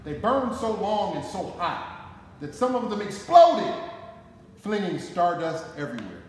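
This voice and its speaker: A man in his forties with an American accent reads a children's story aloud in a deliberate, dramatic way, with emphatic phrasing. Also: high-pitched, very animated, darkish tone. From Detroit, United States. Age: 40s. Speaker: firefighter reading a picture book aloud. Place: concrete-floored fire station garage, indoors. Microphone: camera a short distance away. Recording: echoey hall, noticeable background noise.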